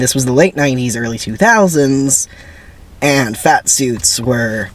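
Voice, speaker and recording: A man giving casual, rambling commentary to viewers in a wry, conversational way with emphatic asides. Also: medium pitch. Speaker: video creator introducing a dramatic script reading.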